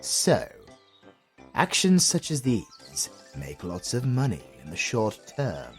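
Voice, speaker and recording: A man acts a retired British supervillain in an audio comedy. His voice is on the low side and unhurried, with big theatrical swings of pitch. He is sardonic, wordy and pompous, like a put-upon gentleman. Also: wildly swooping pitch, faint music behind, full character voice. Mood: dry, measured. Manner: dry, sardonic, pompous, articulate. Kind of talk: acted comedy audio roleplay.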